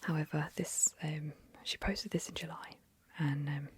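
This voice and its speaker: A woman whispering softly into a close microphone, reading a short story aloud as ASMR. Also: deep voice, fairly even intonation, unhurried, slightly rough.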